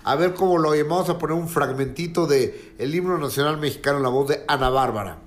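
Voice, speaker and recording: A man's voice: fairly high pitch, fast. A man giving a conversational entertainment news report. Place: reporting remotely from Mexico City.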